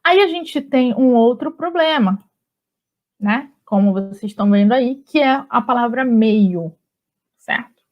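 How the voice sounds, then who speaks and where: A woman with a Brazilian Portuguese accent teaching a grammar lesson in a relaxed, chatty way, often checking in with her students. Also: fairly high pitch, a little slow. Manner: relaxed and chatty, with frequent tag questions. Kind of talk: conversational online grammar lesson. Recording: slightly dull lo-fi audio.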